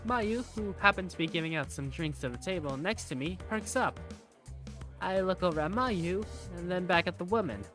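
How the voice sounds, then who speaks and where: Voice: mid-range voice, expressive, moderate pace, a bit bright. A narrator reading scripted first-person story narration for a game fandub. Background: background music.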